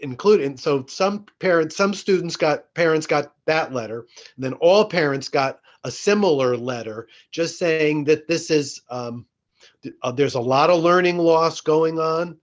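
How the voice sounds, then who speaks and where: A man giving an informal report as a school board chair, explaining things in a halting way. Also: a little high. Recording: dull lo-fi meeting audio.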